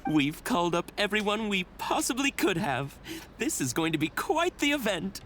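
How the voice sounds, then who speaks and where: A woman's voice: medium pitch, very animated, normal speed, fairly bright tone, a little hiss or hum. A woman playing a welcoming, organised event organiser in an anime-style video game.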